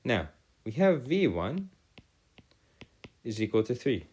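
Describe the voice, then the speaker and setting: A man's voice: medium pitch, very animated, slow, darkish tone, husky. A man teaching a maths lesson as a tutor, in formal, wordy phrasing, often correcting himself as he works aloud. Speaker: math tutor. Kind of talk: video lesson working through calculus problems aloud.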